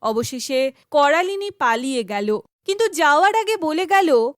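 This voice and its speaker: A woman narrates a children's fairy tale from a script. Her voice is high, and the recording is dry, with no room sound. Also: sing-song, a little slow, dry recording.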